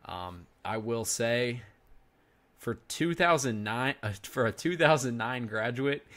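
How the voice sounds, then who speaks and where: A man's voice: medium pitch, expressive, slow pace, a bit bright. A man in his twenties giving casual reaction commentary as a finance video host, chatting to his viewers and calling them "guys" often. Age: 20s.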